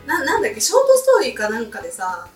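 A woman's voice: fairly high pitch, huge pitch swings, very fast. A woman chatting casually as a guest on a talk show. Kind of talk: casual chat. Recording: roomy echo, faint background music. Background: faint background music.

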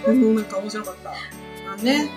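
A woman's voice: a little high, brisk, darkish tone. A woman hosting a casual group radio chat in a giggly, teasing manner. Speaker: radio talk host. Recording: voice over background music.

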